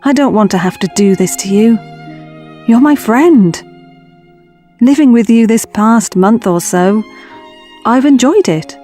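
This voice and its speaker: A woman's voice: on the high side, lively intonation, a little slow, long pauses, warm tone, faint music behind. A woman playing a caring, troubled young time traveller in an audio drama, earnest and confiding. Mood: regretful, earnest.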